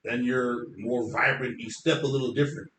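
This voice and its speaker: A man with a deep voice talks at ease, confident and explaining at length, in an interview chat. The sound is roomy with echo off the walls, and a faint ambient hum sits underneath. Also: steady tone, moderate pace, a bit bright. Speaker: hairstylist guest.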